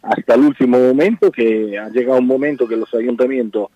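Middle-aged circus man with an Italian accent, mellow and dry, halting speech, lo-fi radio tone. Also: mid-range voice, dry recording. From Spain. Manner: hesitant, repeats words, says "mire" often. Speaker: circus owner. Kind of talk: radio interview answers.

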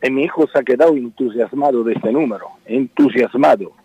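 Middle-aged circus man with an Italian accent, mellow and dry, halting speech, lo-fi radio tone. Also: mid-range voice, slightly rough, dry recording. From Spain. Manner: hesitant, repeats words, says "mire" often. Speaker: circus owner. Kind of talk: radio interview answers.

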